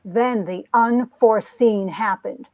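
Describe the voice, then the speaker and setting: A woman's voice: mid-range voice, takes it slowly, dry recording, close to an everyday voice. A woman playing an earnest young small-town heroine telling her story in a romance comic reading, on dull lo-fi audio. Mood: dramatic, hurt.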